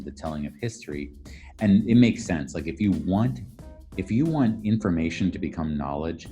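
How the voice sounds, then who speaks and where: A man's voice: sits low, warm tone. A man speaking as an academic interviewee, explaining ideas in a thoughtful, articulate way and rephrasing as he goes. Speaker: academic interviewee, architectural historian and professor.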